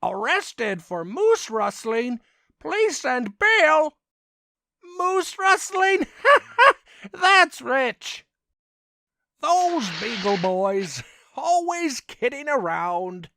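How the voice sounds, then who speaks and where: A man's voice: very high-pitched, big pitch swings, drawn-out words, vocal fry, bright, forceful tone, dry recording, exaggerated voice. A man voicing a smug, needling cartoon rival in a comic dub, drawling mocking taunts. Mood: amused, scoffing.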